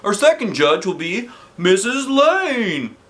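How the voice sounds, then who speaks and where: A man's voice: sits high, big pitch swings, slow pace, a bit bright, pitched above the performer's own voice, full character voice. A man voicing a showy school principal who hosts a televised talent show in a children's story, announcing and selling like a salesman. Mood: formal announcing. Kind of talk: children's book character voice.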